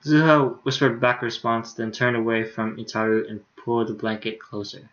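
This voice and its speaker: A man's voice: medium pitch, flat delivery, a little fast, fairly bright tone, highs cut off. A tired male streamer reading a visual novel aloud in a laid-back way, with casual asides. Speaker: live streamer.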